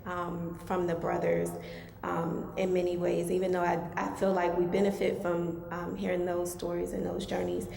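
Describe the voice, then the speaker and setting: A woman from Maryland telling her personal story as a guest speaker, soft-spoken and hesitant, with many pauses. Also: fairly low pitch, monotone, vocal fry. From Maryland, USA. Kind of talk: personal testimony talk. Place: mosque hall. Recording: echoey hall, faint hum.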